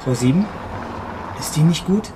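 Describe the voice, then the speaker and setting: A man's voice: a little high, animated, normal speed, background noise, lightly acted. A young man playing a caring, earnest former pupil in a radio crime drama, speaking politely and tentatively.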